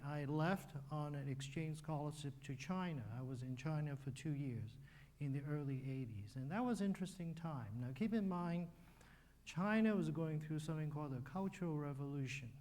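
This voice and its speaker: Older man, mellow and easygoing. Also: fairly high pitch. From Hong Kong. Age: 60s. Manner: relaxed, conversational, with restarts and fillers. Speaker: guest speaker, physician. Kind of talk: church health talk.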